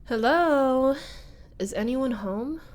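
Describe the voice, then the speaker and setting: A woman's voice: high voice, lively intonation, takes it slowly, a little hiss or hum. A woman voicing a flustered young former bully in a scripted roleplay audio, hesitant and wry. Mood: surprised, awkward. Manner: hesitant, sighing, nervous laughs, wry muttering.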